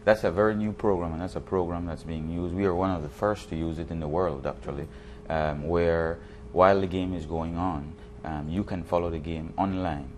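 A man's voice: deep voice, fairly even intonation, moderate pace, few pauses, a little hiss or hum. A man, explaining things as a guest in a studio interview and repeating words as he goes. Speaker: basketball league official, interview guest. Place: TV studio set, indoors. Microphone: lapel.

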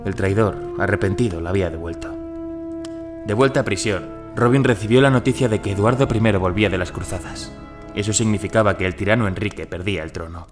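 Loyal outlaw, male actor: lowish voice, measured storytelling, audio drama with music behind. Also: natural voice. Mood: reflective. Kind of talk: dramatized audio fiction acting.

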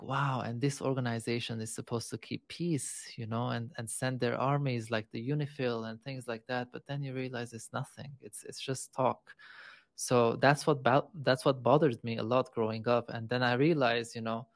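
A man's voice: medium pitch, fairly even intonation, normal speed, no echo. A man in his thirties from Lebanon, a guest telling his story in a remote interview, reflective and earnest, with frequent fillers. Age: 30s. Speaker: guest, animal rights activist. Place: small home room. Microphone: studio microphone on boom, close.

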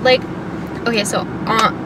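A woman's voice: a little high, fairly even intonation, a little slow. A young woman vlogging from behind the wheel in casual, rambling chat, full of fillers and asides. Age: young adult. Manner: chatty, rambling, lots of "like" and "um"; talking while driving. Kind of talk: casual car vlog chat. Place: inside a car, daytime. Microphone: dashboard camera mic. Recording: distant camera mic with loud road noise. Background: loud road and traffic noise.

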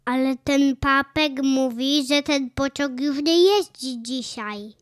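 A woman's voice: high-pitched, takes it slowly, no echo, studio quality, voice pushed up. A woman voicing a curious, polite little boy squirrel in a children's story, asking things in an innocent way. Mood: puzzled. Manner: innocent, questioning.

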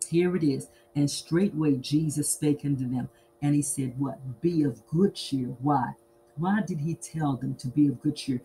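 Mellow-voiced preacher with emphatic delivery. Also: medium pitch, a little hiss or hum, low-quality recording. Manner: emphatic, repetitive, with rhetorical questions and self-answers. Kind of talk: sermon.

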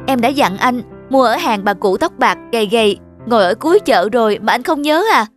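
A woman's voice: fairly high pitch, lively intonation, fairly quick, faint music behind, lightly acted. A woman voicing a thrifty young wife in a cartoon, scolding and insistent. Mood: annoyed, scolding. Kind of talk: cartoon voice acting.

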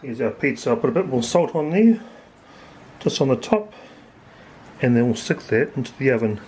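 A man in his forties with a New Zealand accent, a little hoarse, chatting casually as he cooks and tastes food in his home kitchen. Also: mid-range voice, moderate pace, a little hiss or hum. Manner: relaxed, chatty, thinking aloud with fillers. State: slightly hoarse, losing his voice. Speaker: home cook vlogger. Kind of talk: casual cooking commentary.

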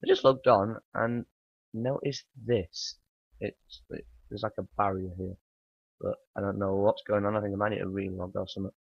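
A man with a croaky morning voice, rambling through casual gameplay commentary with many ums and uhs. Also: fairly low pitch, steady tone, moderate pace, no echo. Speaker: gaming channel host. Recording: dry, dull lo-fi home mic.

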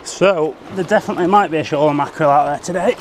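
A young man filming himself fishing from sea rocks, chatting excitedly as he reels in fish, recorded on a head-mounted action camera. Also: on the high side, quick pace. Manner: excitable, laughing, chatty while reeling in fish. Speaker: angler filming his own fishing trip. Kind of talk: casual running commentary. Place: outdoors on rocky sea shore by waves. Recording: action camera with loud wind and surf.

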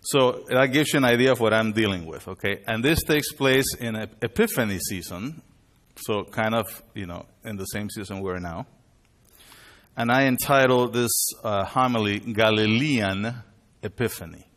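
A middle-aged man, born in Chile and raised in Panama, preaching at a lectern in a measured, deliberate way. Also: lowish voice, fairly bright tone. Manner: measured, deliberate delivery with bilingual openings. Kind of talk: sermon at a worship conference. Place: indoor hall with a screen. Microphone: lectern mic, far camera.

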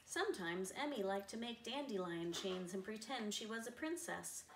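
A middle-aged woman reads a children's picture book aloud in an expressive storyteller's manner. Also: mid-range voice, normal speed. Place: living room, seated on a sofa. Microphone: camera a few metres away. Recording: roomy, distant camera mic, faint noise.

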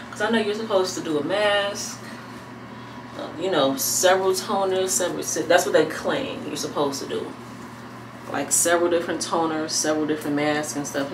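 Low voiced pregnant lady vlogging, kinda far from the mic, roomy bathroom echo. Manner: relaxed, rambling, thinking aloud. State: heavily pregnant. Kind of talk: casual chat to camera. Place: small bathroom at home, indoors. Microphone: camera mic a few feet away. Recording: echoey room, distant mic, air conditioner hum.

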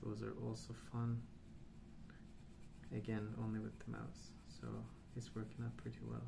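A man speaking softly and hushed in an unhurried, halting ASMR ramble, often trailing off. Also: lowish voice, monotone. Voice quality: soft, hushed. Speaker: ASMR video host. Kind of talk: soft-spoken ASMR rambling. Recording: clear background noise.

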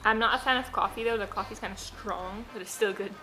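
A woman's voice: medium pitch, quick pace, heavy background noise. A woman in her twenties vlogging casually at home, chatty and giggly, talking into a handheld camera in her kitchen. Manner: chatty, giggly, with frequent "like" fillers. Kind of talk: casual vlog chat. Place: home kitchen and dining room, indoors. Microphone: handheld camera held at arm's length.

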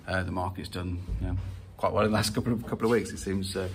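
A man's voice: sits low, steady tone, quick pace, noisy background. A man giving a casual walkthrough of an empty house, talking with many fillers and restarts into a handheld phone. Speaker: property investor. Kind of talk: walkthrough video. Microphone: phone at arm's length.

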